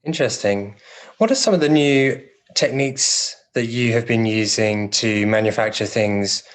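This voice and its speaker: A man's voice: fairly low pitch, moderate pace. A man hosting a podcast interview, asking supportive questions with "um" fillers as he phrases them. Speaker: podcast host.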